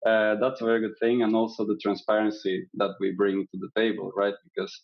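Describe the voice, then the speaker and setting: A man from Venezuela answering interview questions, his voice low and gravelly. He talks haltingly, stacking fillers and restarts. The recording sounds dull and lo-fi. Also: little pitch movement. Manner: hesitant, many fillers and restarts, laughs lightly. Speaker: interview guest, candidate.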